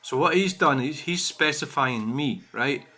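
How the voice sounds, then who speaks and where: A Scottish man rants on his own channel in a bright, forceful voice. He talks indignantly and swears freely, padding his lines with "you know" and "I mean" as he picks apart viewer comments. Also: a little high, lively intonation, measured pace. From Scotland. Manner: indignant, profane, full of fillers like "you know". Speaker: channel host.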